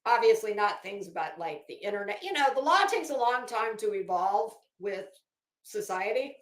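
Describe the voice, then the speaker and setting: A woman's voice: medium pitch, big pitch swings, normal speed. A woman livestreamer talking casually and reading aloud, with a blunt, sardonic, salty-tongued manner. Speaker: livestream host. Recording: echoey room.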